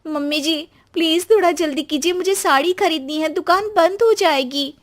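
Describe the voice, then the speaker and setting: Young daughter-in-law acted by a woman for a moral tale: polite, put-upon, notably high pitch. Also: moderate pace. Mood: pleading. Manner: pleading, respectful.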